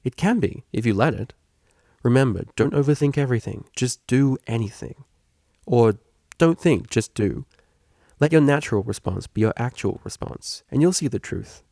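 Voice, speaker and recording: Kindly storybook stallion tutor, played by a man: informal, encouraging, playful, a touch gravelly, dry recording. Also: mid-range voice, expressive, normal speed, warm tone, no room sound. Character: energetic kindly male pony tutor, understanding, playful. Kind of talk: fan fiction audiobook character reading.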